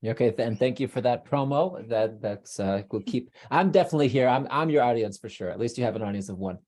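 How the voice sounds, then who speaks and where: A man's voice: mid-range voice, animated, fast talker, a little mellow. A man hosting a podcast, chatty and upbeat, who often restarts his sentences. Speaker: podcast host. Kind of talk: podcast interview.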